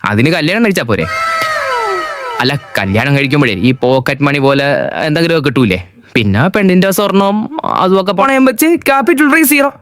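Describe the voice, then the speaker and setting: A man's voice: a little high, big pitch swings, brisk, fairly bright tone. A young man from Kerala voicing a greedy, naive cartoon friend who is eager to freeload.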